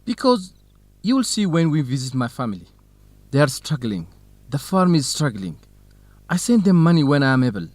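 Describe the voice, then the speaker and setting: Earnest young man, lively intonation. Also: fairly high pitch, moderate pace, long pauses, dry recording. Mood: determined. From Ethiopia. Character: young Ethiopian farm son, dutiful, determined, quietly lovestruck. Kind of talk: English-learning radio drama acting.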